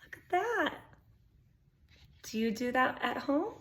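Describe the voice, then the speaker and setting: A woman's voice: high voice, sing-song, slow pace, fairly bright tone. A woman reading a picture book aloud to young children, expressively, with exclamations, asides and questions to her listeners. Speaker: storytime reader.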